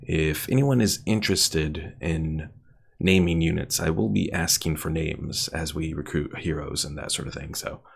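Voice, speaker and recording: A man's voice: very deep voice, moderate pace, creaky, slightly rough. A man giving casual gameplay commentary as a streamer, thinking aloud as he plays. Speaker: streamer playing a strategy game. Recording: game music behind voice.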